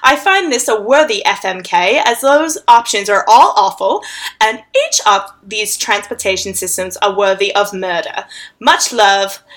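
A woman's voice: mid-range voice, a little slow, fairly bright tone, lightly acted. A woman putting on a theatrical, overly formal voice to read out a fan letter on a podcast. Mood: mock-formal. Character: woman mock-grandly reading a fan letter.

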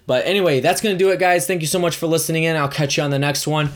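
A young man hosting a casual solo podcast, hoarse and raspy from screaming at a concert, rambling excitedly with lots of fillers. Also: on the high side, racing, heavy background noise. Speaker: podcast host.

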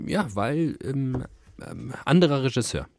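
A man's voice: medium pitch, big pitch swings, measured pace, gravelly, no room sound, clean studio sound. A thirty-year-old man co-hosting a podcast in casual, rambling, self-ironic banter. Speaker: podcast co-host.

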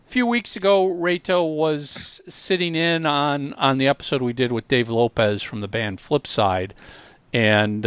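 A man's voice: mid-range voice, a bit bright, clean tone, no echo. A man hosting a music business podcast, upbeat and chatty as he welcomes a guest. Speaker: podcast host. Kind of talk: casual podcast chat.

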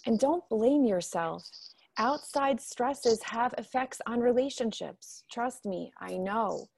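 A woman's voice: on the high side, slow, creaky, darkish tone, slightly rough, no room sound, heavily acted. A woman acting a caring, fussing mother of a grown daughter in a radio drama. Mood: gentle, earnest.

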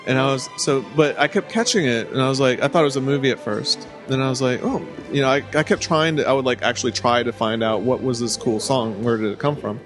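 A man's voice: mid-range voice, quick pace, few pauses. Casual man in his thirties, faint music. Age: 30s. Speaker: fan being interviewed. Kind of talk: casual recollection.

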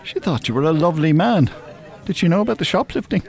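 A man's voice: a little high, sing-song, fast, darkish tone. An actor playing a sardonic, well-spoken older Dublin man, wordy and mock-formal in a radio drama.

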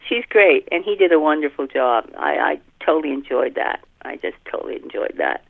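A middle-aged woman with a creaky, gravelly voice talks over a narrow telephone line. She is a casual, expressive interview guest who repeats phrases for emphasis. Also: low-pitched, a little fast, dry recording. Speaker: author, podcast guest. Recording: muffled narrow phone line.

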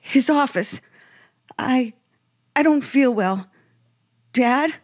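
A woman's voice: high-pitched, lively intonation, extremely slow, a little mellow, heavily acted. An actress playing a frightened young woman at gunpoint, hesitant and pleading, in 1950s radio suspense style. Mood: shaken, subdued. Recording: 1950s radio broadcast, music behind.

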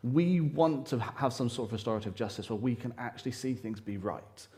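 A man with a loud voice preaching a sermon to a congregation, talking earnestly with frequent pauses and restarts. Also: mid-range voice. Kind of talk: church sermon. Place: church hall, live congregation. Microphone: handheld or stand microphone.

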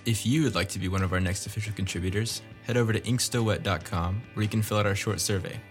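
A man's voice: fairly low pitch, steady tone, quick pace. A man co-hosting a podcast, reading scripted announcements and credits with light jokes. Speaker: podcast co-host, writer and producer. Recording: music bed behind voice.

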